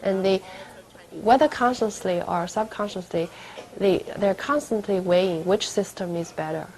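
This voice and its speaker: Deliberate, analytical woman commentator. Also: mid-range voice, a little mellow, poor audio. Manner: deliberate, analytical, builds up parallel phrases. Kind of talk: interview commentary.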